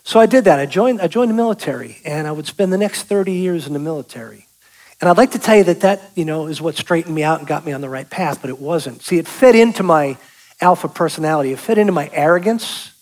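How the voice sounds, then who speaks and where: A man's voice: a little high, animated, fast talker, darkish tone, rough recording. A man, giving a folksy, joking testimony sermon and calling out to the congregation. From South Boston, US. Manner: folksy, joking, calls out to the audience. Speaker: guest speaker giving testimony.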